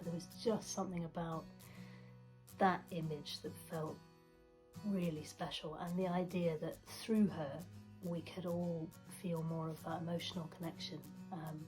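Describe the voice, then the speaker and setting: A woman with a slightly low voice talks reflectively as a writer about her work. Quiet music runs behind her. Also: poor audio. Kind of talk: reflective interview talk.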